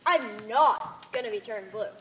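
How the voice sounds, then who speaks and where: A woman's voice: a little high, lively intonation, normal speed, natural voice. A woman playing a stubborn, candid Earth girl among aliens in an amateur stage play, plain-spoken and emphatic. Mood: defiant. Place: theater stage.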